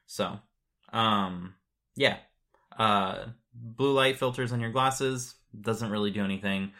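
Guy w a lowish voice on a podcast, explaining stuff matter of fact, casual chat. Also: slow pace, sharp tone. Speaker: podcast co-host.

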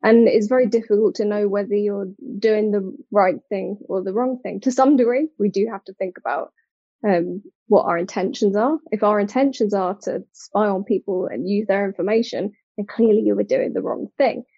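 A woman's voice: medium pitch, moderate pace, dark tone, no room sound, lo-fi sound. A British woman speaking as an interview guest, explaining things articulately in a conversational way. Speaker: interview guest, technology designer and advocate.

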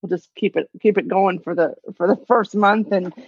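A woman's voice: medium pitch, normal speed, husky, dull audio. A woman co-hosting a podcast in casual chat, talking loosely with many "you know"s and "um"s. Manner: chatty, lots of fillers like "you know". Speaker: podcast co-host.

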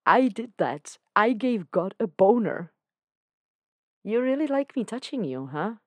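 A woman's voice: on the low side, unhurried, dry recording, pitched above the performer's own voice, lightly acted. Woman voicing an earnest, confessional young man. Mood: wondering. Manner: earnest, candid, casual slang. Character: young man, emotional and confessional, self-doubting.

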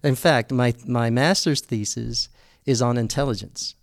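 A man's voice: mid-range voice, a little slow, gravelly, dry recording, studio quality. An American man chatting as a guest, explaining things at length and laughing often. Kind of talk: casual interview chat.

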